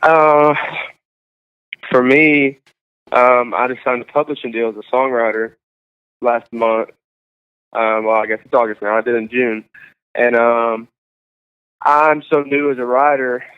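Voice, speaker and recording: A young man, a singer-songwriter guest, chatting casually in an interview, halting and full of fillers. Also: mid-range voice, steady tone, clean tone. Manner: halting, full of likes and ums. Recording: tinny phone-like sound.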